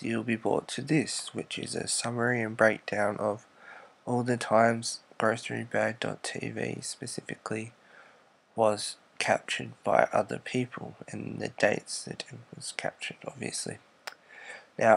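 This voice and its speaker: A man with a low, deeply gravelly voice that stays flat and unhurried. He narrates a screen recording as a voice-over, explaining each step and letting sentences trail off quietly. The tone is husky and measured. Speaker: YouTuber.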